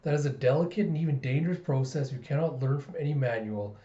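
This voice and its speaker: A man playing a composed, serious father who is secretly a calculating spy, speaking in measured, formal sentences. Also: fairly high pitch, mellow, roomy sound, muffled recording, lightly acted. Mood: earnest.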